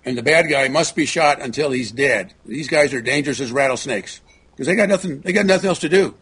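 A man speaking as a talk show guest, halting and repeating words, often clearing his throat. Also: medium pitch, a bit bright.

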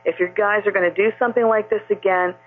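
Woman caller, phone-line sound, husky voice, rambling, indignant. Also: mid-range voice, steady tone, fast talker. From Maine. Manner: rambling, indignant, many fillers. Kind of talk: talk radio call.

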